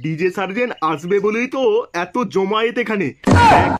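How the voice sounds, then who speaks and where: A man's voice: high voice, moderate pace, natural voice. A man voicing a brash, know-it-all young village fellow in a comedy cartoon, speaking bluntly with a West Bengal accent. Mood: explaining impatiently. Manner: blunt, colloquial, exclamatory. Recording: clearly noisy track with clicks.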